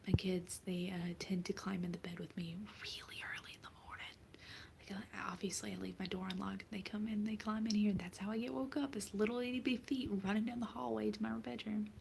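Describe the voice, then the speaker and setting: A woman's voice: medium pitch, fairly even intonation, a little fast, gravelly, a little hiss or hum. A young woman from the Southern US whispering a rambling, casual chat to her viewers, full of fillers and restarts. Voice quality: soft unvoiced whisper. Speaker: vlogger. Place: at home, in bed.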